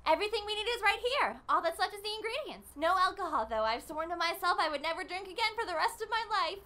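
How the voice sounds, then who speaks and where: A woman's voice: very high voice, expressive, fast, sharp tone, heavily acted. A woman voicing a bossy, exuberant teenage schoolgirl club leader in an anime fan dub, brash, emphatic and sure of herself. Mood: confident. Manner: emphatic, declarative, self-assured. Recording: amateur fan dub with background noise.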